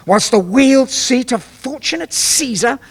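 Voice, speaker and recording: An actor playing a proud, disgraced Roman general resolved to die, in grave, commanding Shakespearean stage declamation. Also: male voice, very high voice, lively intonation, a little slow, breathy edge, heavily acted. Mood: urgent, persuasive. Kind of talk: classical Shakespearean stage acting.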